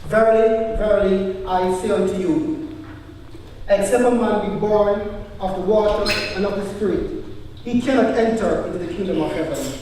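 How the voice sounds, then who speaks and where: A man playing a solemn teacher with calm authority in a live church drama, reciting scripture in emphatic, measured phrases. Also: high-pitched, lightly acted. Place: church hall, recorded live. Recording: very echoey hall, distant mic, faint noise.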